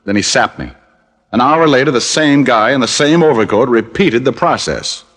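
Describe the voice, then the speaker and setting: A man's voice: medium pitch, expressive, normal speed, fairly bright tone. An actor playing a wry, hard-boiled private detective who narrates his own case in a 1950s radio drama.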